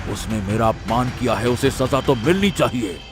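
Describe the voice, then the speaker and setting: A menacing cartoon village strongman acted by a man, with a mid-pitched voice. He is arrogant, vengeful and domineering, with music playing behind him. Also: lively intonation, moderate pace, natural voice. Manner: threatening, domineering. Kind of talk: Hindi cartoon moral-story voice acting. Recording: background music under the voice.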